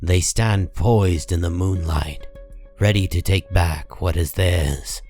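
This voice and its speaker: A man narrating a comic book story in a dramatic, deliberate storytelling style. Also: deep voice, darkish tone, faint music behind.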